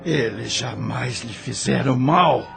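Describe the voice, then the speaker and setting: A man's voice: medium pitch, takes it slowly, vocal fry, over music. A man with a Brazilian Portuguese accent voicing an imprisoned doctor who pleads in anguish in an audio drama. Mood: desperate.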